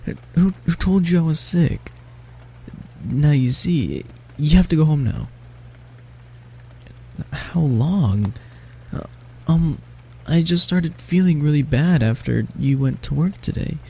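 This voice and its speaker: A man voicing a sick, frightened young male catboy in an audio roleplay. The catboy talks softly, stammers and pleads. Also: a little high, animated, slow, creaky, pauses often, slightly rough, heavily acted. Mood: nervous.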